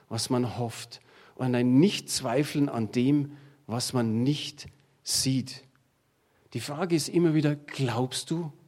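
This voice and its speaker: A man's voice: mid-range voice, darkish tone. A middle-aged man preaching a sermon to his congregation, talking in a plain conversational way and drawing on everyday examples. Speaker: pastor.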